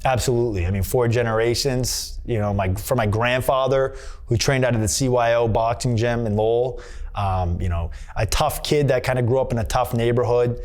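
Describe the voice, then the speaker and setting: A male boxer, talking at a middling pitch. He rambles through his answers, backing up and restarting as he leans on fillers. It is a candid sit-down interview. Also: steady tone, moderate pace, a little mellow. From Massachusetts. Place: boxing gym.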